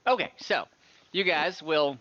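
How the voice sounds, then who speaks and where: A man runs an online tabletop game as its game master, narrating casually and conversationally. His voice is bright and a bit high, over slightly dull call audio. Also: unhurried, dry recording. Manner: informal, conversational.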